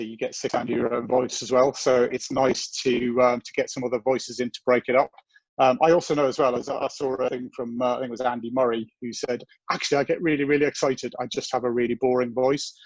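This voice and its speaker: Give me a gravelly man presenting on a video call. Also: medium pitch, no echo. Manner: rambling, self-deprecating, many fillers. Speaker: guest presenter. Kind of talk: technical presentation. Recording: dull lo-fi video call audio.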